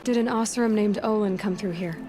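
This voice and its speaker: Actress as a direct young huntress, mid-pitched. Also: female voice, brisk, lightly acted. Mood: inquisitive, direct. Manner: direct, businesslike. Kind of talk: video game dialogue acting.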